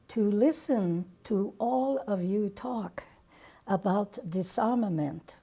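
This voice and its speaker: A woman voicing a grave older Japanese bomb survivor in a dramatic monologue, with a Japanese accent and halting, broken English. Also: mid-range voice, warm tone.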